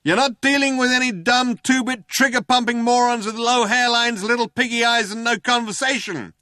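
A man voicing a shouting, trigger-happy cop who earnestly insists on how sensitive he is, in a comic science fiction audiobook. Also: very high voice, normal speed, no echo, studio quality, pitched above the performer's own voice. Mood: indignant, earnest. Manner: shouting, earnest, self-justifying. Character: loud-hailer cop, shouting, self-consciously sensitive, trigger-happy tough guy.

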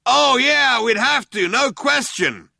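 A man voicing a second cop, an eager would-be novelist who yells chummy threats in a comic science fiction audiobook. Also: very high voice, expressive, slow, vocal fry, no echo, studio quality, voice pushed up, full character voice. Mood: eager, menacing. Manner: yelling, eager, confiding.

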